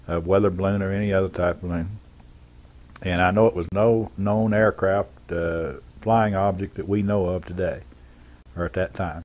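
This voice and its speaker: A man with a low-pitched voice gives a first-person account from memory. He speaks in a plain, matter-of-fact manner, feeling his way through the details haltingly. It sounds like an unscripted witness interview. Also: a little mellow. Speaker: former air traffic controller giving an eyewitness account.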